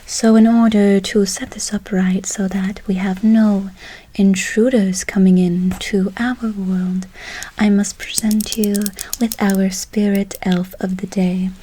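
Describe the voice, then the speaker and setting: A woman's voice: mid-range voice, little pitch movement, warm tone, slight background noise, close to an everyday voice, low-quality recording. Whispering, doting witch played by a woman. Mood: soothing, enchanting. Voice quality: breathy whisper. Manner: slow, soothing, repeats words, calls listener darling. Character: gentle doting forest witch casting a spell. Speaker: ASMR performer. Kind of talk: whispered ASMR role play.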